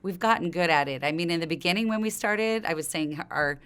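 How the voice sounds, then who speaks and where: A middle-aged woman with a low, smooth, clear voice, talking as a business founder in an interview. She speaks conversationally, telling things informally and looping back to earlier thoughts as she goes. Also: brisk, fairly bright tone. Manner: informal, rambling, circles back mid-thought. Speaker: company co-founder being interviewed.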